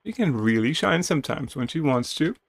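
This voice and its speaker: A man's voice: on the high side, expressive, a little fast, dry recording. A man reading a video game's story aloud as a dramatic narration, with playful casual asides. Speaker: video game streamer reading game text aloud.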